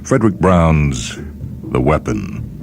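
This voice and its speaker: An extremely deep male voice narrates fiction as a host and reader. The delivery is deliberate and measured, a scripted dramatic reading with music clearly running underneath. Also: sing-song.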